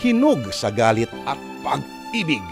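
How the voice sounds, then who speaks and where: Theatrical Filipino radio narrator, man, lively pitch. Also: a little slow, over music. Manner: theatrical, florid. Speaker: radio drama host and narrator. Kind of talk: dramatic scripted narration.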